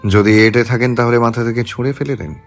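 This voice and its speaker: A man with a Bangladeshi accent playing a self-assured young politician and husband in a measured, intimate way, in a romantic audio story. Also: medium pitch, steady tone, soft background music, natural voice. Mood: coolly threatening. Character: young male politician, tender husband, coolly menacing to rivals.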